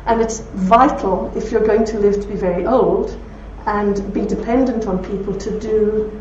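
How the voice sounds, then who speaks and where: A woman with a broad Belfast accent speaking to an audience, telling anecdotes with warmth and laughter. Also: medium pitch, steady tone, moderate pace, a little hiss or hum. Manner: warm anecdotal storytelling with laughs. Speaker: speaker at a talk. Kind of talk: talk with audience questions. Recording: roomy hall from far off, dull.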